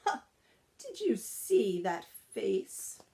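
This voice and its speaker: A woman's voice: medium pitch, big pitch swings, extremely slow, dark tone. A woman voicing sneering, bullying neighborhood dogs from a storybook in a mocking, emphatic way.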